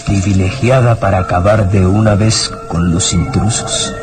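An actor playing a gaunt, sinister villain in an old radio serial, speaking with slow, deliberate menace. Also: male voice, sits low, fairly even intonation. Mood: quiet menace. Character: gaunt, sinister male villain lurking in swamps. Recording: radio drama with music behind. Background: dramatic music.

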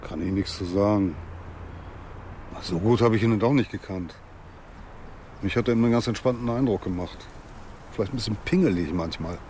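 Actor as jobless working guy in a crime radio play, lowish voice, mulling stuff over, ambient rumble behind. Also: brisk, long pauses, mellow, lightly acted. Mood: rueful. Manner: colloquial, thinking aloud. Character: plain-spoken jobless workmate of a dead man, mulling him over. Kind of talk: naturalistic German radio crime drama.

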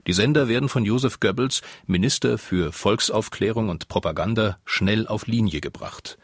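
A man's voice: lowish voice, normal speed, husky, no echo, clean studio sound. A man narrating a scripted radio documentary.

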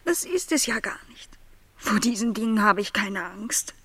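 An actress playing an earnest, lonely, vulnerable young housemaid in a literary radio drama, breathy and softly insistent as she questions. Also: mid-range voice, animated, moderate pace, no room sound. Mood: tearful, fragile. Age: young adult. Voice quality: breathy, often near a whisper.

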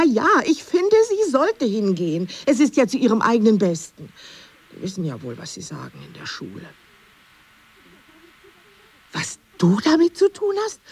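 An actress playing a worn-out, embittered single mother with a husky voice in a radio drama. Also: medium pitch, a little mellow, exaggerated voice. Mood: bitter, angry.

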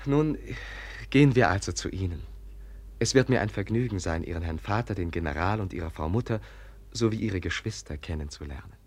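A man playing a gentle, naive young Russian prince in a 1950s German radio drama, speaking politely and earnestly. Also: low voice, animated, breathy edge. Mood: conciliatory. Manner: polite, earnest, deliberate, formal.

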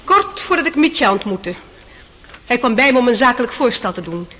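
An actress playing a defiant, indignant young Russian mistress on the witness stand in a radio play, blunt and accusing. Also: female voice, fairly high pitch, big pitch swings, brisk, sharp tone. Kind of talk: radio play courtroom acting.

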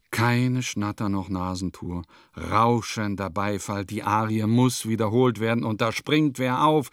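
Radio drama: wry period diarist, declamatory and clipped, unhurried delivery, lowish register, very dry sound. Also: male voice, vocal fry, no room sound. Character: wry, theatrical male diarist of bygone small-court provincial life. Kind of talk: literary radio play monologue.

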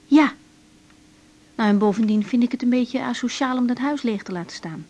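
A breezy young woman in a radio play, her voice on the high side. She talks conversationally, decisive yet with small hesitant pauses and a gently teasing touch. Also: husky. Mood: brisk, explaining. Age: young adult. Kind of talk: naturalistic 1980s radio drama acting.

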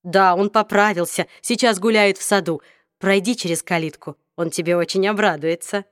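Добрая заботливая мама средних лет в исполнении чтицы, сухая запись. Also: female voice, medium pitch, fairly even intonation, moderate pace, no room sound, studio quality, natural voice. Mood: caring. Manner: warm, caring. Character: kind middle-aged mother, sympathetic. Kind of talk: audiobook reading.

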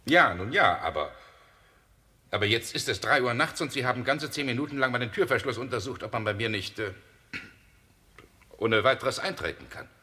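An actor in a German radio play playing a suspicious, impatient gentleman with a formal, demanding and uneasy manner. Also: medium pitch, animated, moderate pace, long pauses. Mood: suspicious, indignant. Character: suspicious, impatient, imperious gentleman, uneasy under his politeness.

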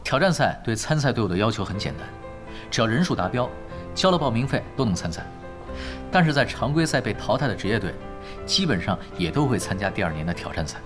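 An actor playing a calm, confident young male pro gamer in an audio drama, unhurried and explanatory with light teasing. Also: on the low side. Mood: calm explaining. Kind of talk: Chinese audio drama acting. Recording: studio voice over clear background music.

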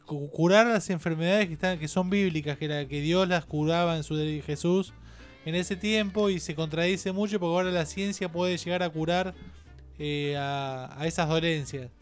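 A male radio interviewer with a Rioplatense accent and a clear, slightly high voice. He speaks informally and haltingly, often repeating his phrases to find the question. Faint guitar music plays behind him. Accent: Rioplatense Spanish. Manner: halting, circles back and repeats himself.

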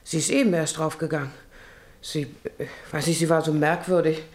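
A woman's voice: sits low, steady tone, fairly quick, darkish tone, lightly acted. A woman acting an anxious, pleading rancher's wife and mother in a German radio drama, hesitant and fretful. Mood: worried. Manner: hesitant, faltering, fretful questioning.

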